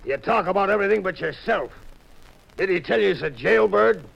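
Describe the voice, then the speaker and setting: An actor playing a gruff, hostile ship's captain in a 1950s radio drama, curt and commanding, on an old muffled recording. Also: male voice, high-pitched, lively intonation, brisk, fairly bright tone, heavily acted.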